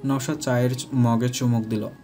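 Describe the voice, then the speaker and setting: A man's voice: medium pitch, little pitch movement, normal speed, music in the background. A man narrating a scripted romantic story.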